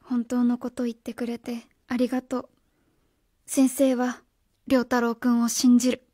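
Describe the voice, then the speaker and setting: A woman's voice: fairly high pitch, completely flat delivery, measured pace, warm tone, no room sound, studio quality. A woman playing a flustered, kindhearted young schoolteacher in a radio drama. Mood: quiet, tender. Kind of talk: Japanese radio drama acting.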